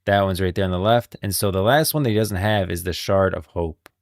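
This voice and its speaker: A man's voice: low-pitched, fairly quick, no echo, clean studio sound. A man hosting a livestream, talking casually about game lore and rambling as he thinks aloud. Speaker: livestream host.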